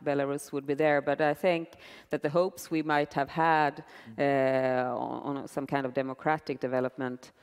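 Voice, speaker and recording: A woman on a conference panel answering in a measured, thoughtful way, pausing with small fillers. Her voice is smooth and resonant in a mid register. It echoes with a long tail in a large hall. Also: extremely low pitch, level tone. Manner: measured, with frequent fillers and restarts.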